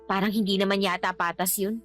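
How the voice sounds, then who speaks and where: An actress with a Filipino accent playing a resentful, outspoken grown daughter in a radio drama, exasperated and complaining. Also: female voice, mid-range voice, flat delivery, fairly quick, a bit bright, faint music behind, lightly acted.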